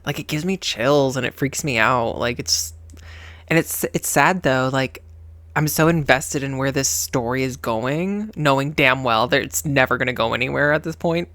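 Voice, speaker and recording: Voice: mid-range voice, moderate pace, fairly bright tone, dry recording. A reaction video host giving casual, emotional commentary in a dramatic, rambling and exclamatory way.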